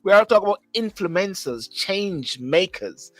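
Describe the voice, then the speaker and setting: Jamaican guy hosting a talk show, pretty high voice, really emphatic, dry mic w/ soft music behind. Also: animated, a little slow, no room sound. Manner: emphatic, repeats phrases for stress. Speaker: host. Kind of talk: live call-in talk show discussion.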